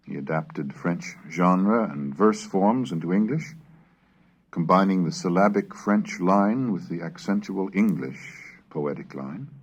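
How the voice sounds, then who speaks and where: A man reading scripted lectures and old verse in a measured, scholarly manner, as a lecturer with a husky voice. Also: sits low. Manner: measured, scholarly, declamatory when reciting. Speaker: lecturer and reader. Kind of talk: scripted narration on English language history, with Middle English recitations.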